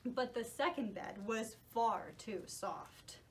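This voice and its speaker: A woman narrating a children's story as a puppet show host, animated and reassuring. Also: fairly high pitch, takes it slowly.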